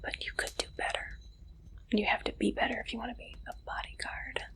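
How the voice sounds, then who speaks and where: A woman whispering in an ASMR roleplay as an interviewer, with a playful, teasing manner and soft questioning. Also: on the high side, flat delivery, fast, gravelly.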